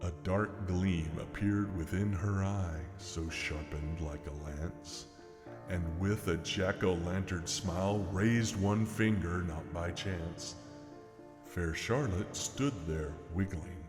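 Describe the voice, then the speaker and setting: A man's voice: low voice, slow pace. A man gives a dramatic, theatrical reading of a spooky narrative poem, leaning into the rhythm and rhyme as storyteller. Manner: theatrical, rhythmic rhyming delivery. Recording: long reverb with music behind.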